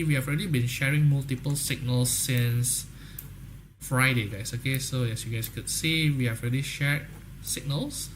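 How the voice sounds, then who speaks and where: A man's voice: mid-range voice, a little hiss or hum. Indonesian-accented man hosting a trading stream. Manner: repeats "guys, okay?" often, upbeat salesy talk. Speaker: trading livestream host. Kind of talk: casual livestream chat with viewers.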